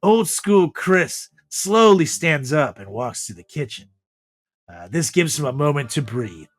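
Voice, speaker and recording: A man's voice: a little high, sing-song, unhurried. A man hosting a comedy podcast, moving between casual banter and reading a comic story aloud. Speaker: podcast host. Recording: echoey room with music behind.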